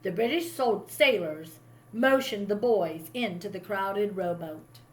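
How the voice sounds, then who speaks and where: A woman's voice: medium pitch, slow, poor audio. A woman reading a children's story aloud like a teacher, with dramatic emphasis on key moments. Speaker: teacher reading a picture book to a class. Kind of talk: expressive read-aloud storytelling.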